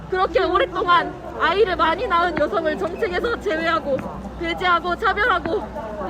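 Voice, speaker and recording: A woman speaking at an outdoor political rally and hosting it, her voice pitched up as if through a voice changer. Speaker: protest speaker and event host. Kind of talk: impassioned political speech and announcements at a rally. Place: outdoor city street demonstration. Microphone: handheld microphone. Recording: noisy outdoor street, crowd and traffic.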